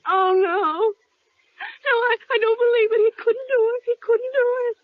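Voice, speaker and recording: An actress playing a nervous, demanding invalid wife in a 1940s radio thriller, frantic and imperious, pleading and stammering. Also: female voice, very high-pitched, normal speed. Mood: anguished, panicked. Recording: dull, crackly vintage radio recording.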